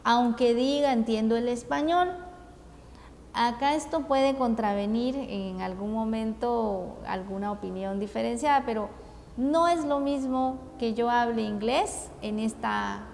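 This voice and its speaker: Guatemalan woman speaker, higher-pitched voice, unhurried and hesitant, in a reverberant hall. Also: creaky, darkish tone. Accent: Guatemalan Spanish. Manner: measured, deliberate, frequent hesitations. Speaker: conference speaker. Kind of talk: formal conference talk. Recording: long reverb, faint ambient noise.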